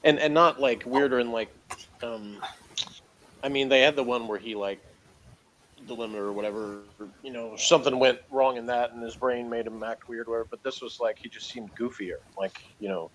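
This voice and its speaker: A man's voice: mid-range voice, steady tone, a little fast, crowd noise, poor audio. A man talking informally and hesitantly, meandering through his opinions in an unscripted review chat about television. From outside Britain.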